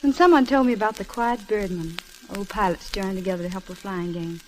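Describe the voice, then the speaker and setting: A woman's voice: mid-range voice, brisk, a little hiss or hum, lightly acted, poor audio. A young American woman playing a spirited stewardess who is starry-eyed about flying, in a 1930s Hollywood film. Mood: wistful, eager. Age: young adult. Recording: vintage 1930s film soundtrack with music.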